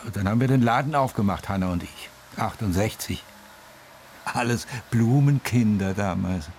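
Actor as grumbly older gardener guy, low voice, self important, bit of background noise, radio play. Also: normal speed, darkish tone, lightly acted. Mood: indignant. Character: indignant older ex-gardener, former flower child. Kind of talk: interview-like radio drama narration.

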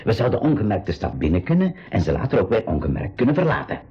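An actor playing an idealistic older uncle and professor in a 1980s educational radio play, with a Flemish accent, a husky voice and a deliberate, explanatory manner. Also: male voice, lowish voice, wildly swooping pitch, full character voice. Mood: earnest, thoughtful. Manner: deliberate, explanatory, with hesitant repetitions. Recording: dull 1980s radio recording.

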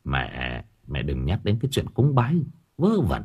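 A man voicing a skeptical young husband who dismisses talk of ghosts, low and muttering. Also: wildly swooping pitch, measured pace, slightly rough, dull audio, full character voice. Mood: dismissive. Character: skeptical young husband, police officer, curt.

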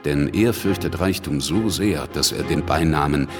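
An actor playing a shrewd, cynical revolutionary politician who speaks dismissively in a radio play monologue. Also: male voice, rumbling low, moderate pace. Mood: self-assured. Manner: sardonic, dismissive. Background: music.

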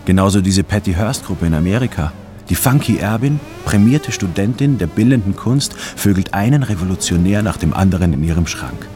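A deep-pitched man narrates a radio drama in the first person. He is a brooding, obsessive intellectual with a secretly rebellious streak, telling his story in literary prose. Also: lively intonation, brisk, mellow. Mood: brooding, excited. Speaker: narrator and protagonist.

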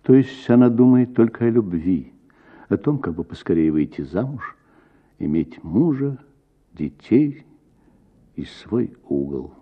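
A low-voiced man narrates literary fiction with theatrical care. His intonation rises and falls widely between deliberate pauses, and the voice has a husky edge on a slightly muffled radio recording. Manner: expressive, measured, with deliberate pauses. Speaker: actor reading a short story.

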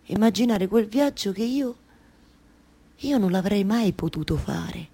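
An actress playing a weary, exasperated yet tender waiting wife in a theatrical radio monologue, with a Roman accent and halting, repetitive phrasing. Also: female voice, mid-range voice, expressive, vocal fry, dark tone, breathy edge, exaggerated voice. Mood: hushed, regretful. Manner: halting, obsessively repeating words and phrases.

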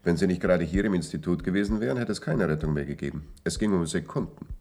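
A man's voice: low-pitched, quick pace, a little mellow. A man playing a smug, coolly obsessed scientist in a radio science-fiction drama, urbane and lecturing. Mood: calm, grave. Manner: urbane, self-satisfied, lecturing.

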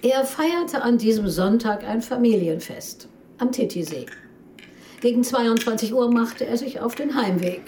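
Mellow, businesslike policewoman. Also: fairly high pitch, unhurried, a little hiss or hum. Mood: matter-of-fact. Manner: matter-of-fact, relaxed. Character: businesslike female police officer giving case details. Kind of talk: radio drama dialogue.

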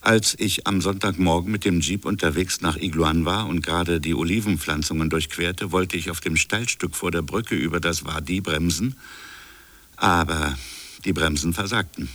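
Radio drama colonial official: low, brooding, insistent narrator of his own inquiry, close dry sound. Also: normal speed, a bit bright, no room sound. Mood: brooding, troubled. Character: colonial official recounting his stubborn private investigation. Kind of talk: radio drama dialogue and first-person narration.

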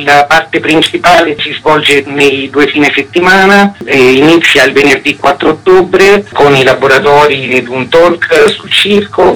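A man with a mid-pitched voice answers questions in a radio interview. He speaks as an artistic director, setting out and explaining a programme. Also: a bit bright, low-quality recording.

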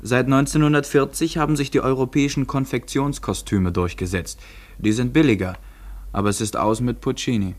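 A man plays a dry observer narrating a scripted radio drama. His voice is of medium pitch. He reports in a literary, scripted style. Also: steady tone, brisk, close to an everyday voice.

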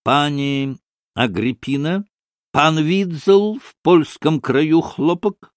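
A man voicing a haughty, self-important gentleman in a storybook, speaking pompously and deliberately. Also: on the high side, no echo, studio quality, voice pushed up. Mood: haughty, measured.

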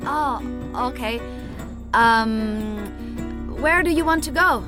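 A woman playing a young, carefree, reckless German traveller in a naturalistic radio drama, speaking playfully and a little haltingly in foreign languages. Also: sits high, over music. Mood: playful, giddy.

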